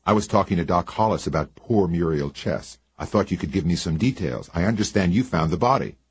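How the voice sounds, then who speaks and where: A man's voice: deep voice, expressive, fairly quick, husky, no room sound, full character voice. A man voicing a cheerful, chatty young woman who reports for a small-town paper.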